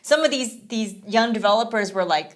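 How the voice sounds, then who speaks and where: A woman's voice: fairly high pitch, lively intonation, moderate pace. A woman co-hosting a gaming podcast, chatting casually with a rambling, filler-heavy manner. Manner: chatty, rambling, many fillers like "you know". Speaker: podcast co-host. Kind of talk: casual chat.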